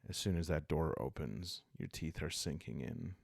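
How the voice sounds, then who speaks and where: A man calmly narrating a solo role-playing game in a hushed, relaxing way, musing aloud as he goes. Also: a bass voice, moderate pace, gravelly, breathy edge, no echo, clean studio sound.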